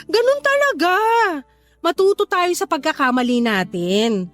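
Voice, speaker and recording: A thrifty, middle-aged Filipina neighbor in a radio play. She needles with sly mock sympathy in a very high voice that swings widely, over faint music. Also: wildly swooping pitch, unhurried, a bit bright, heavily acted. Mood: mocking, teasing. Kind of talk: radio drama dialogue.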